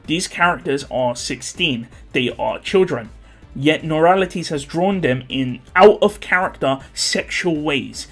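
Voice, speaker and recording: Formal man with a higher-pitched voice. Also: measured pace, fairly bright tone, soft background music. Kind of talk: scripted critical commentary.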